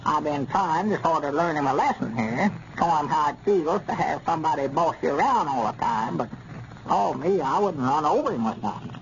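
A high-pitched, fussy old country storekeeper with a folksy Arkansas hill accent. He is literal-minded and crotchety with a soft heart, speaking in a muddled way. It is a dull, noisy 1930s radio comedy recording. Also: animated, moderate pace. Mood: defensive, literal. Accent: rural Southern US, Arkansas hill country. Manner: folksy, flustered, muddled stammering.

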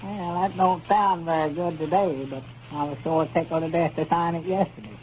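An actor playing a naive, plaintive old country storekeeper with a rural Arkansas Southern accent in a 1930s radio comedy. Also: male voice, fairly high pitch, fairly even intonation, moderate pace, darkish tone, lightly acted. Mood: sheepish. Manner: folksy, plaintive, simple-minded. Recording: dull, noisy 1930s radio recording.

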